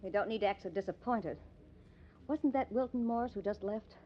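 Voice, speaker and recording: An actress playing a sharp-witted, pushy newspaper reporter in old-time radio drama style, snappy and insistent. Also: female voice, mid-range voice, a little fast, slight background noise, close to an everyday voice. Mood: curious. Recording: dull, lo-fi old radio recording.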